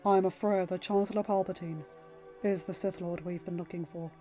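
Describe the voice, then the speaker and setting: Woman voicing a grave, steady warrior-monk master. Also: fairly low pitch, moderate pace, muffled recording, faint music behind, lightly acted. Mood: solemn.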